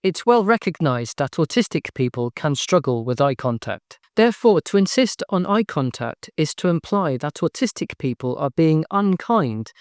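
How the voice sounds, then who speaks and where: A man with a monotonous, flat voice, giving a scripted commentary to camera. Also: a little high, no echo, studio quality. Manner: flat, measured delivery. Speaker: video essayist.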